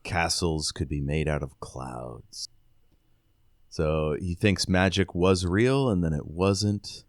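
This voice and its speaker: A man's voice: sits low, slow, dry recording, studio quality. A man co-hosting a podcast, informally recapping and quoting in a casual book discussion. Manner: informal, conversational. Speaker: podcast co-host.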